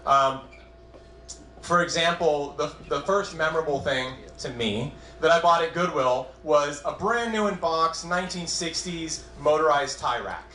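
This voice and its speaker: A man's voice: fairly high pitch, a little slow. A man in his twenties from New England with a New England accent, doing a wry, self-deprecating stand-up set on a comedy club stage. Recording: echoey club room.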